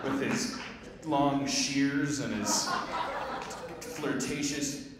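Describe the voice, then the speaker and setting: A man's voice: mid-range voice, fairly even intonation, very slow. A middle-aged man hosting live improvised comedy, rambling and stammering into a stage microphone. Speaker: comedy show host. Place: theatre stage before an audience. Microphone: handheld stage microphone. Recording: echoey hall, noisy live crowd, distant.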